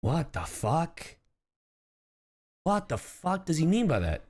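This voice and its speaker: A man streaming casual live reactions to a video game, excitable and sweary in how he talks. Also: a little high, slow pace.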